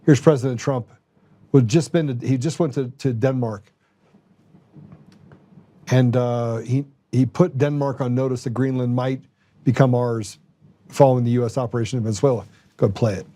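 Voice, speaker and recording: A male political talk show host with a dark, relaxed tone and a middle pitch. He delivers opinionated commentary and introduces clips. He leans on repetition to hammer points home. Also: moderate pace, pauses often. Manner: emphatic, repeats phrases for effect.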